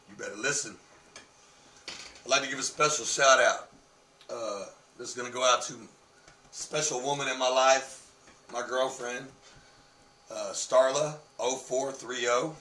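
A man in his thirties vlogging casually from his living room, brash and swearing, his voice worn from losing it. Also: medium pitch. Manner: brash, swearing, animated gestures. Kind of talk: casual profane vlog. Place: living room in a home, indoors. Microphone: webcam on desk. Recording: roomy webcam sound from a distance.

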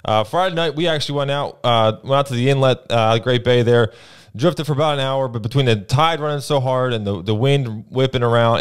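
A young man with a New Jersey accent co-hosting a fishing report, telling his weekend trips in a relaxed way full of fillers, at a boom mic in a small studio. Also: clean tone. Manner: relaxed storytelling with many uh and um. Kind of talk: casual fishing report chat. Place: small studio with brick wall, indoors. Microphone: boom mic on arm, headphones.

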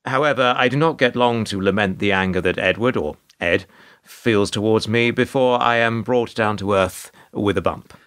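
A man narrating a radio play as a wry, put-upon storyteller, measured and sardonic. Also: fairly low pitch, fairly bright tone, smooth, natural voice. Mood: wry, dry. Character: wry, put-upon male narrator recalling awkward encounters.

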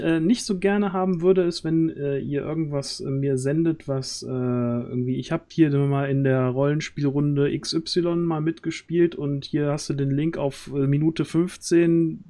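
A man hosts a casual livestream. His voice is soft-edged and mellow, and he talks haltingly, feeling for his words as he goes. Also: on the high side. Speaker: stream host and audio drama organiser. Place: small room at home, on a group video call.

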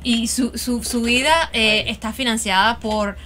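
Young woman, art-stream host, high bright chatty voice mixing in English. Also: fairly even intonation, unhurried, slight background noise. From Cuba. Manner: chatty, mixes English words into Spanish. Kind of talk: casual live chat while painting. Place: home studio, indoors.

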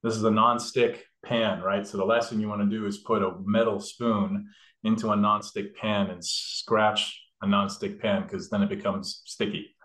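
A man's voice: lowish voice, level tone, normal speed, a bit bright. A man demonstrating a recipe in a kitchen, explaining each step casually with many fillers and restarts. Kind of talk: casual cooking demo. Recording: echoey room.

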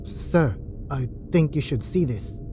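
A woman's voice: a bass voice, lively intonation, slow, dark tone, slight background noise, pitched below the performer's own voice, full character voice. A woman voicing an anxious male scientist in a science fiction story, reporting uneasily and deferentially to a superior. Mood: uneasy.

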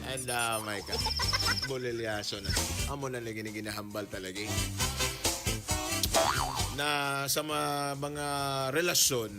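A man's voice: medium pitch, measured pace, pauses often. A man hosting a comic radio drama, giving casual advice and commentary. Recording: loud music under the voice.

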